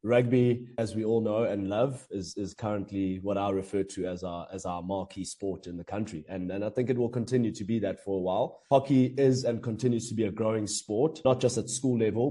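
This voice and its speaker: A man's voice: fairly low pitch, dark tone. Earnest hockey coach, man. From South Africa. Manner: earnest, measured, with frequent fillers and restarts. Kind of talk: interview answers.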